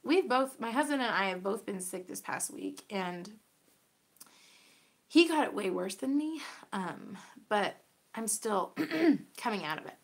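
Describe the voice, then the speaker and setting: A young woman hosting a knitting podcast in casual show-and-tell chat, her voice raspy from a cold, chatty and bubbly with many fillers. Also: medium pitch, animated, a little slow.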